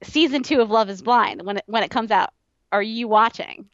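A woman co-hosting a podcast in a casual, conversational way, quick to giggle. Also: fairly high pitch, no room sound, lo-fi sound. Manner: giggly, conversational, frequent laughter. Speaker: podcast co-host. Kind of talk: casual chat.

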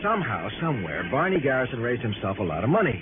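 A man acting an excitable, irritable, chatty American insurance man at the wheel in an early 1960s radio drama, emphatic and rambling. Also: mid-range voice, fast talker, over music, lightly acted. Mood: eager, explanatory. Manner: rambling, emphatic, easily exasperated. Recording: muffled old radio recording, loud car noise.

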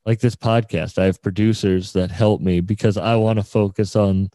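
A man's voice: lowish voice, fairly even intonation, normal speed, dark tone, dry recording. A man hosting a podcast, interviewing in a casual, slangy and encouraging way. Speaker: podcast host interviewing a musician.

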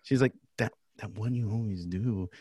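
A man's voice: lowish voice, moderate pace, dark tone, slightly rough, dry recording. Laughing, rambling man, dry sound. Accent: Mexican American. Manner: rambling storyteller, laughs often. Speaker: actor, podcast host. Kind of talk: casual chat.

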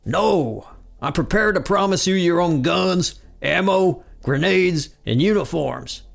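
A man voicing a gruff, hot-tempered army recruiting sergeant in a barking, commanding manner, as in a cartoon retelling. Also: high-pitched, animated, slow pace, soft background music, pitched above the performer's own voice, exaggerated voice. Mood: persuasive.

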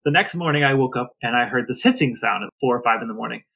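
A man's voice: mid-range voice, fast, creaky, bright, forceful tone, breathy edge. A middle-aged man co-hosting a podcast, telling stories casually and comically in a grumbling, exasperated way. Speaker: podcast co-host. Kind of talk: casual comedic storytelling.

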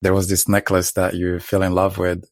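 A man's voice: low voice, monotone, normal speed, no room sound, studio quality. A man from Morocco casually telling a couple's story, rambling and laid-back with lots of filler words.

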